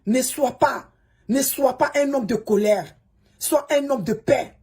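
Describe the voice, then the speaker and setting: A woman's voice: high-pitched, unhurried, darkish tone, husky. A woman, a social media coach who is an English speaker, giving a pleading, preachy advice monologue and repeating the listener's name. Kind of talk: advice monologue to a fellow creator.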